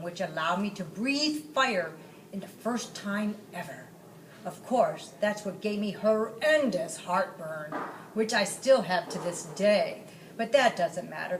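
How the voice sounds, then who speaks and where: A woman's voice: mid-range voice, natural voice. A woman voicing a genteel, cultured male dragon in a children's storybook, chatty and flowery in manner. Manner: chatty, flowery, self-satisfied. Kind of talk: children's storybook read-aloud. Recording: roomy, distant mic, faint noise.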